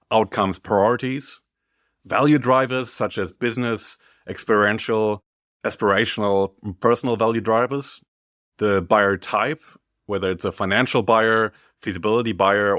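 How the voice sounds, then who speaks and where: A man reading a scripted business training article as narrator, in a clearly articulated, instructive way. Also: fairly low pitch, a bit bright, dry recording, clean studio sound.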